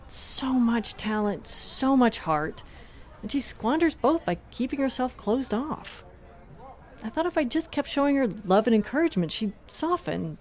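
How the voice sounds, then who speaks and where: A woman's voice: mid-range voice, darkish tone, lightly acted. A woman playing a stern but loving middle-aged mother and principal in a comedic audio drama, firm and motherly.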